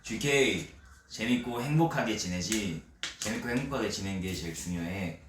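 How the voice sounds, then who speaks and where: Low, laid-back young man. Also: normal speed, far from the mic. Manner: relaxed, teasing, drawn out. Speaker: idol group member on a livestream. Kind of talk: casual livestream chat. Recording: echoey room, off-mic, faint noise.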